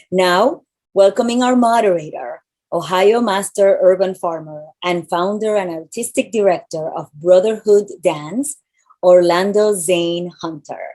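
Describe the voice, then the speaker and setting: A woman with a deep voice and a mild Spanish accent, reading scripted welcome announcements as the event host over a video call from a large room. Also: a little mellow.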